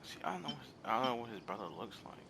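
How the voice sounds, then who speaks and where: A man's voice: mid-range voice, expressive, rapid-fire, vocal fry, noisy background. A man giving casual gaming reaction commentary in muttered, musing asides. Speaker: gaming content creator. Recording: loud game music behind. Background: loud game music.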